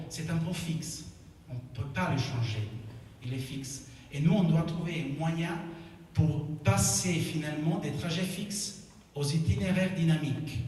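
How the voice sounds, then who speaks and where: A man with an Italian accent giving a conference talk, halting and correcting himself. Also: fairly high pitch, darkish tone, slight background noise. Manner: halting, self-correcting, with non-native phrasing. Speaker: conference speaker. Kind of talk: conference presentation. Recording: echoey hall, speaker far from mic.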